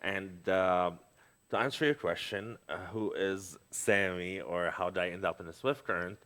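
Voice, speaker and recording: A man's voice: low voice, moderate pace, fairly bright tone. A middle-aged man from Lebanon, talking in a casual conversation as a guest, hesitant, with many fillers and restarts.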